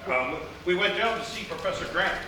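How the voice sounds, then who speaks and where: A man's voice: high voice, lively intonation, fairly quick, sharp tone. A man playing a matter-of-fact, slightly hesitant small-town investigator in live radio comedy theatre. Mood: puzzled, earnest. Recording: echoey theatre stage, distant mic, background noise.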